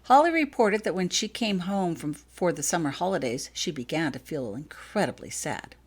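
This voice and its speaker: A woman voicing a calm, earnest man in an audiobook story, measured and gently apologetic as he explains. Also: fairly low pitch, slightly rough. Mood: grave, factual.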